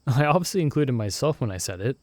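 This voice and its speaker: A young man voicing a loyal friend stranded in another world, who talks in a soft-spoken, gently teasing and reassuring way in an ASMR roleplay recorded close to the mic. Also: medium pitch, sing-song, fast talker, no echo, close to an everyday voice. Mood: gentle, reassuring.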